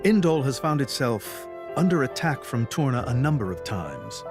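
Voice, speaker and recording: An actor playing an elderly, grave religious leader in a video game, speaking in a formal, deliberate way. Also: male voice, mid-range voice, big pitch swings. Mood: calm, guarded. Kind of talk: dramatic video game voice acting. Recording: loud orchestral music behind.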